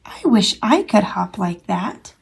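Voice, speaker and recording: A woman's voice: medium pitch, very animated, takes it slowly, creaky, slightly rough, full character voice. A woman voicing a small young male hare from a children's storybook, childlike, eager and earnest. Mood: musing, wistful.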